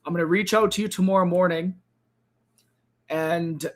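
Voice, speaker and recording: Hoarse man hosting a trading livestream. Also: fairly even intonation, normal speed. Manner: tired, low-energy sign-off with frequent fillers. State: hoarse, losing his voice. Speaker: crypto trading livestream host. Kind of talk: casual livestream talk to viewers.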